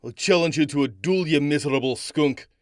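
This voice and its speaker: An actor in an audio drama playing a jealous Old West suitor, indignant and threatening. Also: male voice, a little high, brisk, darkish tone, dry recording, studio quality, natural voice. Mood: outraged.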